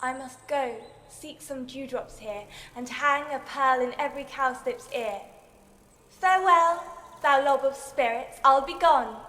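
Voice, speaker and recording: A woman's voice: sits high, measured pace, pushed and bright, big-room sound. A woman playing a wandering fairy servant of the fairy queen, speaking lilting verse in a Shakespearean audio drama. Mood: airy, proud. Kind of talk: lyrical Shakespearean verse acting.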